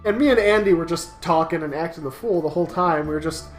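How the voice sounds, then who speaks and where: A man co-hosting a comedic let's play, chatting casually in a goofy, excitable way and laughing as he talks. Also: on the high side, fast. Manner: goofy, animated storyteller, laughs through speech and bursts into shouts. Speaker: co-host of a visual novel let's play. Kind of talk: casual comedic banter while reading a game aloud. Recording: roomy echo with music behind.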